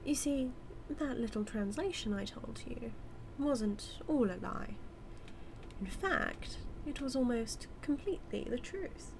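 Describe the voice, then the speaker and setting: A woman's voice: fairly high pitch, lively intonation, takes it slowly, background noise. A British woman playing a smug, scheming supervillain in an audio roleplay, taunting her nemesis with a theatrical, drawling delivery that dips toward whispers. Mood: smug, teasing. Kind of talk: scripted villain monologue roleplay, spoken to the listener.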